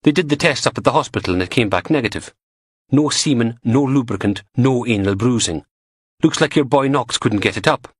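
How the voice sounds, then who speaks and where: A man's voice: medium pitch, animated, brisk, breathy edge, no room sound, studio quality, voice pushed up. A man voicing a playful, teasing young goth woman working as a lab technician in an audiobook.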